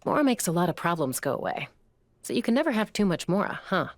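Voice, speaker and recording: Low, animated worldly woman. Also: quick pace, no echo. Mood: playful, smooth. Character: worldly, playful, money-savvy woman game mediator.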